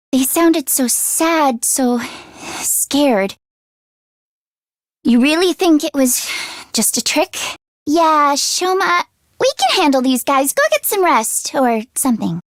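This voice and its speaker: Teenage game girl, very high voice, animated, anxious, earnest, dry close recording. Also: dry recording. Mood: sad, pleading. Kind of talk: English video game voice acting, isolated voice lines.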